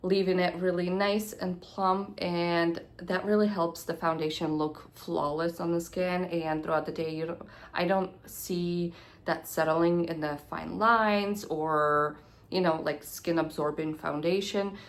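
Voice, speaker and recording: A young woman vlogging about her beauty favorites, nasal and congested from a cold, talking quickly and sometimes dropping to a near-whisper. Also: lowish voice, fairly even intonation. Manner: chatty, hurried, drops to near-whispers at times. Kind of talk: casual product review to camera.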